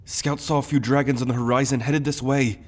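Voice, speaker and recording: A man acts out a young prince of firm principle in a fantasy roleplay. His voice sits at a middle pitch. He speaks with earnest loyalty and courtly politeness. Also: flat delivery, brisk, natural voice. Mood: eager, urgent. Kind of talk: fantasy audio roleplay acting.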